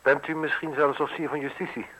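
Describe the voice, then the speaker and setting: A man's voice: on the high side, level tone, quick pace, pushed and bright, rough recording. An actor in a radio play voicing a suspicious, wealthy man who questions tersely and with dry irony. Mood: sardonic, probing. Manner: terse, probing, drily ironic. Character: suspicious, curt, wealthy male villa owner, coolly sardonic. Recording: hissy old radio play recording.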